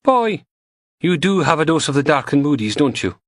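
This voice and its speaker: A man's voice: on the high side, big pitch swings, normal speed, no room sound, studio quality, voice pushed up. A man doing a cheeky young goth woman, raised voice. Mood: teasing. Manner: teasing, playful.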